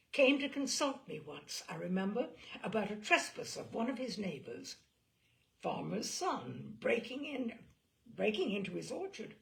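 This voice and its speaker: A woman's voice: on the high side, creaky, voice pushed up, heavily acted. A woman voicing a fussy, talkative, deferential male lawyer in an audiobook of a classic novel, rambling eagerly and interrupting himself.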